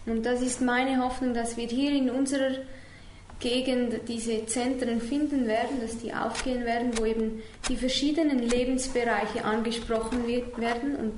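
A woman interprets live in a fairly high voice. She searches for words and corrects herself along the way. The room echoes around her, with faint noise behind. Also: fairly even intonation, moderate pace, a little mellow. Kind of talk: consecutive spoken interpretation.

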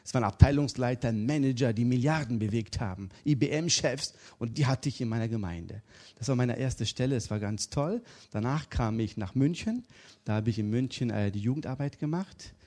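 Middle-aged preacher guy from turkey, jokey storyteller, lively. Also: medium pitch, moderate pace. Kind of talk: interview and sermon.

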